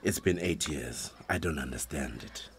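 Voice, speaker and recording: Very deep-voiced elderly South African father. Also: normal speed, slight background noise. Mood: doubtful. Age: older. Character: withdrawn, disapproving elderly father, a pious churchman. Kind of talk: radio drama acting.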